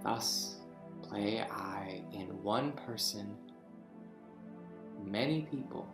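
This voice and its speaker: Deposed king, slow and brooding, man acting, roomy webcam echo, faint music behind. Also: on the low side. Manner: slow, swings from hushed whispers to outbursts. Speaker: actor in a staged reading. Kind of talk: Shakespeare reading over video call. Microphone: video call webcam.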